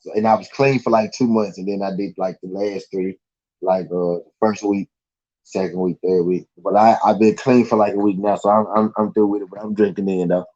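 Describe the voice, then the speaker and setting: A man's voice: lowish voice, steady tone, brisk, mellow, lo-fi sound. A man with a Southern US accent hosting a live stream, chatting casually in a laid-back, slangy, rambling way with lots of fillers. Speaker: podcast host.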